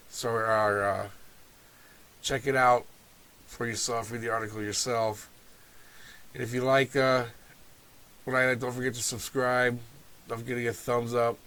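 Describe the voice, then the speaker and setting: A man's voice: mid-range voice, fairly bright tone. A man hosting a video talk series, sounding nasal from a cold, loose and offhand with asides. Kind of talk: informal talk to camera.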